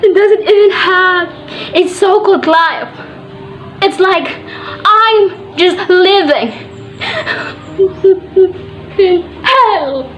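A woman performing a theatrical monologue as a shy, unloved young girl, anguished, tearful and halting. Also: very high voice, exaggerated voice. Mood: desperate, crying. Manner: anguished, tearful, halting delivery with bitter laughs. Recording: dull lo-fi audio, music behind.

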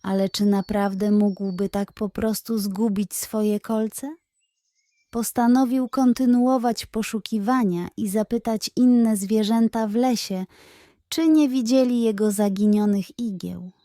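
A woman's voice: medium pitch, steady tone, measured pace, dark tone, no room sound, studio quality. A woman narrating a scripted bedtime story for children as a storyteller.

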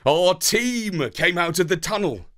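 A man with a British accent narrating a children's story in a theatrical, deliberate, expressive way. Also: sits high.

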